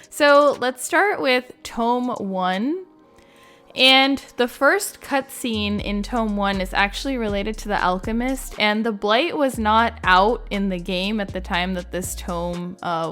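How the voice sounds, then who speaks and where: A woman's voice: mid-range voice, a bit bright. Chatty woman doing casual gaming commentary. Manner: chatty, informal, frequent "like" and "you know". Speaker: gaming video creator and host. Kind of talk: casual video commentary and reactions.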